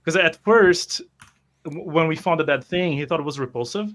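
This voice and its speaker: Mid-pitched man, halting, thinking aloud. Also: fairly bright tone. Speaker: tabletop role-playing player. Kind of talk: casual group chat about a role-playing game.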